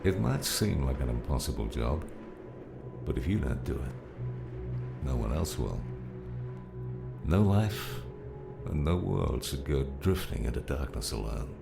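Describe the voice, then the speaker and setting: An actor playing a gruff, fatherly old mentor, weary and wise, in a slow audiobook drama performance with a gravelly voice. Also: a bass voice, sing-song, full character voice. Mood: grave, encouraging. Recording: music behind the voice.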